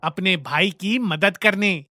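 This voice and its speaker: A woman's voice: medium pitch, slow, heavy background noise, natural voice. A woman voicing a worried, caring husband and father in a horror cartoon story, earnest and concerned. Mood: resolute.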